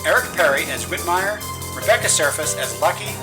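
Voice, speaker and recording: A man's voice: sits high, expressive, a little fast, low-quality recording. A man reading scripted end credits as an announcer. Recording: loud guitar music behind.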